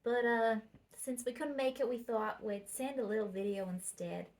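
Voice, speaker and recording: A young woman giving a birthday video message in a put-on Southern American drawl, pausing with fillers. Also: fairly high pitch, darkish tone. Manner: hesitant with fillers. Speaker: daughter-in-law.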